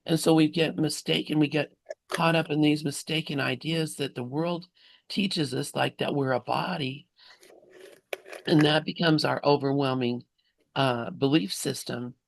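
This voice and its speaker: A man in a spiritual study group, reflecting informally and haltingly as he thinks aloud. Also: fairly high pitch, fairly even intonation, gravelly, no echo.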